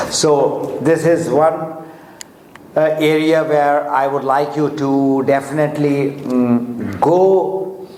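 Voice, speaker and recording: A man's voice: mid-range voice, darkish tone, slight background noise. A man whose first language is Urdu or Hindi, giving a motivational seminar talk in English with many fillers and restarts, asking his audience questions directly. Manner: slow, deliberate, with frequent fillers and restarts. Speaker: motivational speaker. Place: large hotel seminar hall. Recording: echoey hall with faint ambience.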